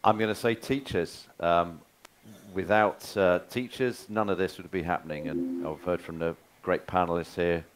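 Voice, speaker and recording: Very deep-voiced man, upbeat. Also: fairly even intonation, normal speed. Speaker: panelist.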